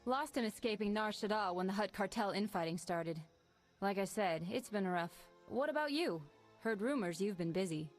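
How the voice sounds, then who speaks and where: Weary ex-smuggler pilot woman, voiced by an actress; brisk, businesslike, schemer. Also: medium pitch, lively intonation, soft background music, natural voice. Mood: scheming.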